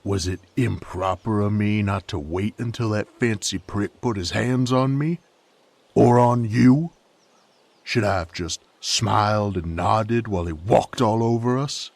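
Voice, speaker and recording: Gruff, hot-tempered rustic knight, played by a man, audio drama; unhurried drawl, gravelly, sardonic. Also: lowish voice, dry recording. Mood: angry, defensive. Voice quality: gravelly, husky. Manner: folksy drawl with rustic slang. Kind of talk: character audio roleplay acting.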